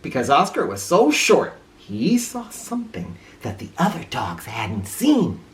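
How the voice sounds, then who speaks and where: A woman reading a children's story aloud in a theatrical, expressive way, with an informal touch. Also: low voice, measured pace. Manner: theatrical, with informal asides to listeners.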